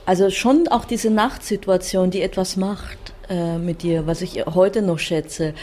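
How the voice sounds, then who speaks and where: A woman's voice: lowish voice, unhurried, mellow, a little hiss or hum. A woman reminiscing in conversation in a reflective way, searching for her words as she goes.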